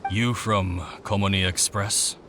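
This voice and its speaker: Low, curt, shady customer. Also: male voice, fairly even intonation, unhurried, pushed and bright, a little hiss or hum. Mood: guarded. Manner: terse, guarded. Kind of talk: video game voice acting. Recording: game music behind the voice.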